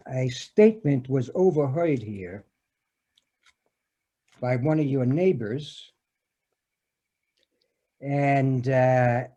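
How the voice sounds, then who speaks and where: A man's voice: a little high, warm tone, full character voice. A man reading a hesitant, patient detective. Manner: halting, full of "uh" fillers. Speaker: reader in an amateur readers theater performance. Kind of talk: comic readers theater scene read over a video call. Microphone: home video call mic.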